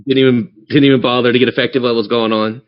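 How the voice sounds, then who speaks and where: A man's voice: mid-range voice, flat delivery, fast talker. A man running a tabletop role-playing game, narrating scenes in a descriptive, theatrical storyteller's manner. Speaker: game master. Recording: dull lo-fi audio.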